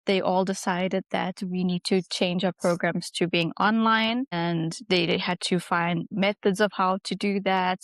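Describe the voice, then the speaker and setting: A woman's voice: medium pitch, dry recording. Chatty South African woman. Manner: chatty, laughs easily, many "like" fillers. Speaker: guest, English teacher. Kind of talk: casual interview storytelling.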